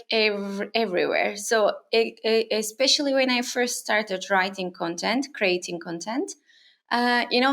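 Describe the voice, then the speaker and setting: Brief: woman in her 30s from Turkey, native Turkish speaker, gravelly and slightly high, thoughtful interview guest. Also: a bit bright. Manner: thoughtful, with frequent fillers and restarts. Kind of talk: casual interview conversation. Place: her home on an island.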